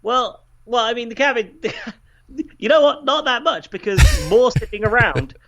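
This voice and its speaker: A man's voice: very high-pitched, expressive, measured pace, pushed and bright. A man calling in to a radio show for a casual chat, talking in a chatty, hedging, self-deprecating way over slightly muffled call audio. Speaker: radio station reporter calling in. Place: at home on a remote call. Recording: slightly dull, band-limited call audio.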